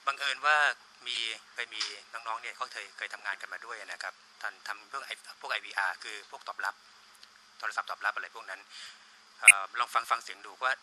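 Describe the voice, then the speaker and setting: A man's voice: lowish voice, fairly quick, sharp tone. A man in his thirties explaining his work as a producer in an informal interview, on a handheld mic in a small office. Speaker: radio drama producer being interviewed. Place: small studio office, indoors. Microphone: handheld reporter microphone. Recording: noisy room, handheld interview microphone.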